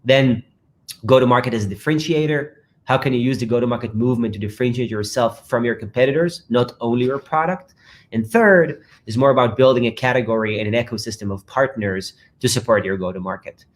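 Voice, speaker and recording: A man moderating a live online fireside chat, upbeat and quick through long sentences on a video call. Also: medium pitch. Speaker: moderator and startup founder.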